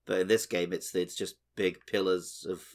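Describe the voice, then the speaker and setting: A man's voice: low-pitched, little pitch movement, normal speed. A man co-hosting a podcast, chatting casually about a video game. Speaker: podcast co-host.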